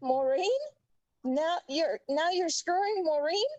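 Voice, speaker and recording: Woman acting a furious high-pitched wife and mother. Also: slow pace, darkish tone, no echo, highs cut off. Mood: furious, accusing. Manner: heated, accusing. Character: furious, foul-mouthed wife and mother fighting her husband. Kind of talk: film script table read. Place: remote video call rehearsal.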